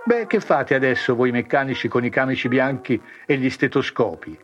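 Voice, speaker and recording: A man voicing a cheerful, confused older ex-grocer who talks with brisk shopkeeper banter. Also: mid-range voice, lively intonation, highs cut off, soft background music, natural voice. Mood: jovial.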